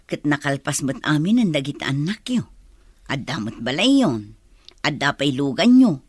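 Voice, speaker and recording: A woman's voice: low-pitched, big pitch swings, normal speed, slightly rough, no room sound, studio quality, full character voice. A woman with an Ilocano Filipino accent playing an outspoken, disapproving older relative in a radio drama. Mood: questioning.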